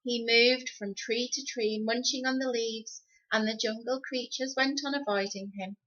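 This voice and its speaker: A woman reading a children's story expressively, with deliberate, dramatic phrasing. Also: a little high, steady tone, fairly bright tone. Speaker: storybook reader. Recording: slightly dull, lo-fi sound.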